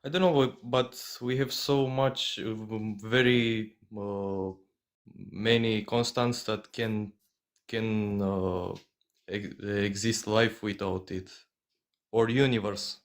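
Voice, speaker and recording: A man from Romania, a non-native English speaker with a Romanian accent, calling into a debate and talking in halting phrases full of fillers. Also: fairly low pitch, fairly even intonation. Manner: halting, hesitant, many fillers. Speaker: caller.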